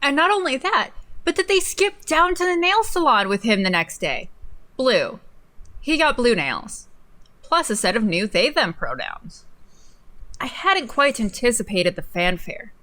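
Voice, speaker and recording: A woman's voice: on the high side, very animated, a little slow, pushed and bright. A young woman co-hosting a livestream, doing a playful, mocking dramatic reading mixed with casual banter. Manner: giggly, playful, theatrical when reading aloud. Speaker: livestream co-host. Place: indoors at a desk in a home streaming setup.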